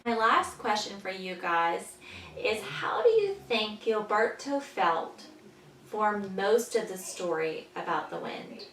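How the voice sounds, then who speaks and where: A woman teaching young children, patiently explaining in simple words and pausing after questions, as in a story lesson. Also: mid-range voice, expressive, fairly bright tone. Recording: echoey room, distant mic, faint noise.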